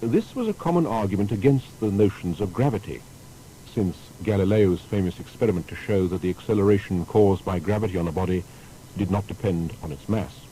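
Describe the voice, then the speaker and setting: Man, scripted biography narration, lowish pitch, very mellow timbre, formal storytelling, slight background noise. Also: poor audio. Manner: formal, storytelling delivery with deliberate emphasis. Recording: faint background noise with rumble.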